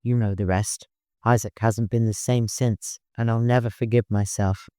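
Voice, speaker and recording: A man's voice: fairly low pitch, fairly even intonation, mellow, clean tone, no echo, studio quality, close to an everyday voice. Man reading as a reserved, troubled working man. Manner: blunt, halting, earnest. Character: reserved, troubled, plain-spoken working man, caravan guide.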